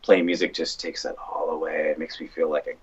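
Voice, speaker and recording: A man with a very deep voice chats informally as a band musician. The recording is slightly dulled. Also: level tone, a little fast, breathy edge, highs cut off. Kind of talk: casual chat.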